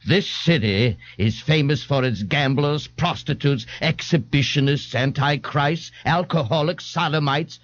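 A man's voice: fairly high pitch, no room sound, highs cut off, voice pushed up. A man voicing a pompous, haughty thirty-year-old man who talks in grand, condescending phrases. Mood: bellowing tirade.

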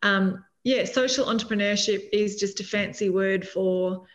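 A woman's voice: medium pitch, fairly even intonation, a little slow. A middle-aged Australian woman explaining her social enterprise as an interview guest over a video call from home, earnest and full of "you know"s. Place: home room. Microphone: laptop or webcam mic on video call. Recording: slightly dull video call audio.

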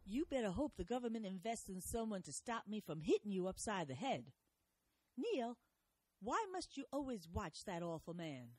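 Actress as prim older wife, old-fashioned, lively pitch. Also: female voice, normal speed, breathy edge. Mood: scolding.

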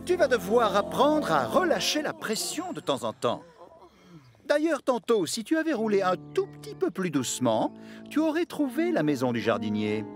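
Stern cartoon boss, scolding manner, very high for a man, hugely animated, music behind. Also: normal speed. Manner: admonishing, exclamatory. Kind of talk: animated children's series dubbing.

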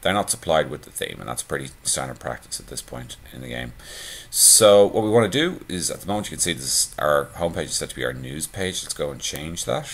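Very deep-voiced man talking through a screencast. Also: brisk, hardly any pauses, slightly rough. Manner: relaxed, conversational, many fillers. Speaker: theme tutorial presenter. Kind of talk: screencast walkthrough.

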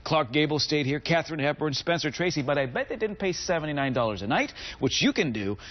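Enthusiastic guy selling travel deals on tv, middle aged, higher voice, slightly muffled sound. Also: big pitch swings, fast talker. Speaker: travel editor, guest expert. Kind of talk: upbeat TV travel segment presentation. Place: TV studio.

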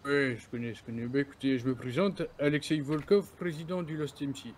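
Calm, measured man in game chat. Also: mid-range voice, a little hiss or hum. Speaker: roleplayer as biker club president. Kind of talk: calm measured roleplay talk.

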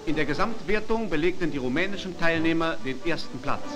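A man's voice: on the high side, lively intonation, a little fast. A man narrating a wartime 1940s newsreel from a script in a formal, declarative announcer style, on an archival soundtrack. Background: loud music.

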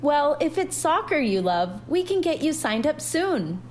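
A woman voicing a loving, gentle mother who speaks tenderly and encouragingly in a narrated story. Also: high voice, big pitch swings, unhurried, soft background music, pitched above the performer's own voice. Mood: warm, reassuring. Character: gentle, loving, reassuring mother of a growing girl.

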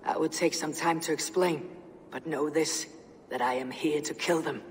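A woman playing a stern, resolute Viking warrior in a game cutscene, speaking in a measured, grim, matter-of-fact way. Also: low voice, fairly even intonation, a little hiss or hum, close to an everyday voice. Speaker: video game lead character. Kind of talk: dramatic game cutscene acting. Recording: reverberant stone hall, music underneath.

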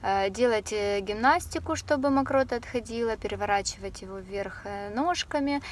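A woman's voice: medium pitch, normal speed. A young woman chatting casually to the camera from her parked car, with many filler sounds and light laughs. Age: young adult. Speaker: vlogger. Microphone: camera on the dashboard. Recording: faint background noise.

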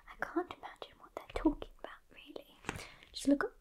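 A woman's voice: fairly quick, natural voice. Woman as a whispering sassy British witch. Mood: chatty, reassuring. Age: young adult. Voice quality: soft, breathy whisper. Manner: chatty, teasing, gently bossy. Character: sassy, scatty witch casting sleep spells. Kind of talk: ASMR roleplay. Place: small indoor candlelit set. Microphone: close microphone.

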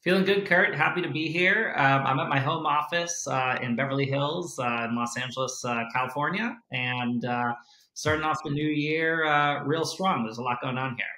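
A man's voice: fairly high pitch, normal speed. A man in his thirties with an American accent, originally from South Africa, talking casually as a guest over a video call from his home office, with frequent fillers. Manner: relaxed, many "uh" and "you know" fillers. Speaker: venture capital investor guest. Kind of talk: casual interview. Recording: echoey room on a video call.

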